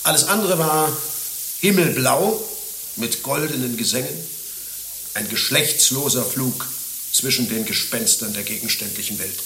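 A man's voice: mid-range voice, lively intonation, a little slow, pushed and bright, reverberant, a little hiss or hum, rough recording. An actor playing a lovesick, naive middle-aged former monastic in a German radio play, declaiming his confessions with rhapsodic anguish. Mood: anguished longing.

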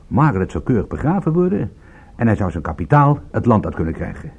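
An actor playing a sardonic, indignant private detective in a Dutch radio drama, with a theatrical delivery. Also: male voice, on the low side, very animated, quick pace, a little mellow, breathy edge, lo-fi sound, full character voice. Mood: dry, explanatory. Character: sardonic, indignant male private detective, proud of his work.

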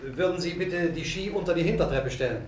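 An actor playing a courteous, matter-of-fact young guesthouse owner in a 1950s radio play. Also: male voice, sits high, fairly quick, natural voice. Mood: polite. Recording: echoey, noisy old radio studio recording.

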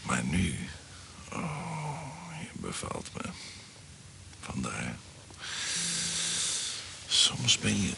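An actor playing a sly, amorous court conspirator in a Dutch radio drama, insinuating and sometimes murmuring. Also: male voice, deep voice, wildly swooping pitch, very slow, vocal fry, pauses often, background noise, heavily acted. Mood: amorous, languid. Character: scheming amorous male courtier, sly conspirator seeking accomplices.

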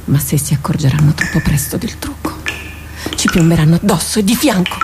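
A woman's voice: fairly low pitch, animated, fairly quick, background noise. A woman playing an anxious, urgent female warrior in an Italian radio drama. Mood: feverish, plotting.

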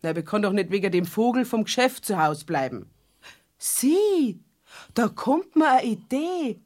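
A woman's voice: medium pitch, sing-song, normal speed, a little mellow. An actress playing a persistent, nosy, good-natured neighbour woman with a Bavarian accent in a children's radio play. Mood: chatty, excited. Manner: chatty, insistent.

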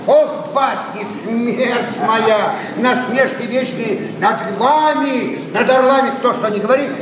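High-voiced sly society rogue. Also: male voice, takes it slowly. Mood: mock-solemn. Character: sly, talkative society rogue, mock-solemn. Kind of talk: verse stage comedy, radio play. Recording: noisy echoing theatre, far from mic.

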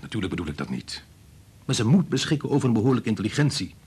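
A man's voice: fairly low pitch, very animated, fast talker, darkish tone, husky, heavily acted. An actor playing an impatient, short-tempered scientist father in a Dutch radio play, lecturing in an exasperated way. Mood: tense, arguing.

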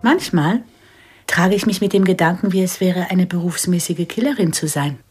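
A woman's voice: lowish voice, moderate pace, over music, close to an everyday voice. An actress playing an earnest, thoughtful mother who aspires to be a principled hired killer, in radio play dialogue. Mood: musing, earnest.

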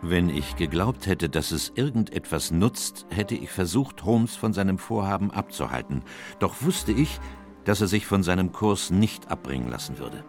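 An actor playing a loyal, worried Victorian gentleman who recounts events in an earnest, measured way for a radio drama. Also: sits low, lively intonation. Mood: apprehensive narration. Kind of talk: radio drama narration and dialogue. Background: music.

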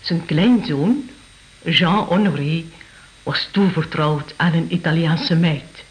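A woman's voice: sits low, slow pace, rough recording. An actress playing an aristocratic lady who tells her family's history in a stately, formal, theatrical way for a radio drama. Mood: solemn storytelling.

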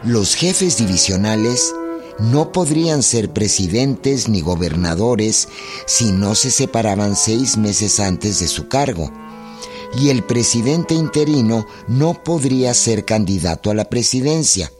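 A male narrator tells a scripted history in a radio play. His voice is fairly low and very unhurried, over clearly audible music. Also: animated. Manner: deliberate, storytelling.

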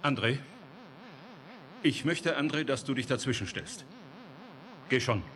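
An actor playing an authoritative, probing scientist in a German radio drama, giving orders and asking questions. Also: male voice, medium pitch, lively intonation, unhurried, fairly bright tone. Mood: businesslike. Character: authoritative male scientist in charge, businesslike, probing, calculating.

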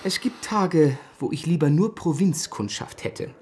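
An actor playing an affable, businesslike young stockbroker in a radio drama, with expressive delivery. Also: male voice, fairly high pitch, unhurried. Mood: confiding. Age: young adult. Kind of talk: radio play acting.

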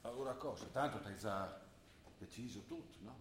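A man playing a gruff Trentino father. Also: fairly low pitch, normal speed, lightly acted. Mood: hurt, cold. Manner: dialect-laced, teasing then withdrawn and terse. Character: elderly father from the mountains, gruff and hurt. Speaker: stage actor. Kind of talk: theatre drama broadcast on radio. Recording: roomy stage sound with faint noise.

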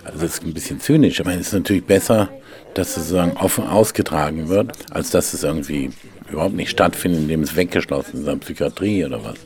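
Low-voiced, musing intellectual. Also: male voice, fast talker, darkish tone. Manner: musing, seeks agreement with question tags. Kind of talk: naturalistic conversational radio drama.

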